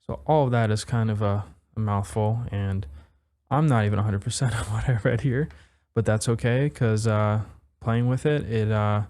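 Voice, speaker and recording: Man, lowish pitch, clear resonant voice, casual gear demo, laid-back reviewer, self-deprecating. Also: moderate pace, a little mellow. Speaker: synthesizer module reviewer.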